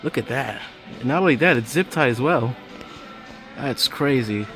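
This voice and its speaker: A man giving casual, off-the-cuff commentary while filming a walk through a big hardware store. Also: mid-range voice. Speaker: vlogger. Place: inside a large hardware store. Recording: store music in the background. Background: store music playing.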